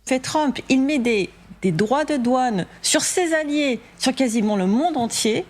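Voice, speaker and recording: A woman's voice: sits high, lively intonation, a little slow. A woman giving argumentative analysis as a panel guest, insistently building her case point by point. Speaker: studio debate guest.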